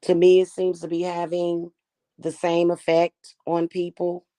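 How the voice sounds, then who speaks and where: A woman with a slightly hoarse voice, talking casually and defiantly to her viewers about her spiritual practice. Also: lowish voice, monotone, unhurried, dark tone. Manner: chatty, defiant, addresses viewers as y'all. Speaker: spiritual reader and channel host.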